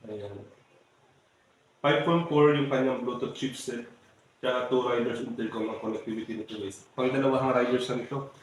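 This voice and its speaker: A man with a Filipino accent giving a casual step-by-step product tutorial, often addressing his viewers. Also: mid-range voice. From Philippines. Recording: very echoey room, faint background noise.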